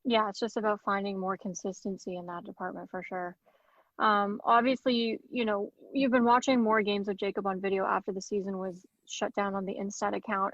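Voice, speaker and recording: A woman's voice: medium pitch, no echo, lo-fi sound. A woman hosting a casual podcast interview, asking long questions. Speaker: podcast host.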